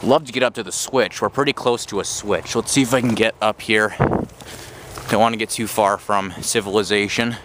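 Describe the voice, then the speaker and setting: A man's voice: lowish voice, fairly even intonation, fairly quick. Midwest guy rambling into his camera mic outside in the woods, lots of outdoor noise. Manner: rambling, with restarts and fillers, narrating as he goes. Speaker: vlogger exploring abandoned railroad tracks. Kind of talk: handheld walking commentary. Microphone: handheld camera mic while walking.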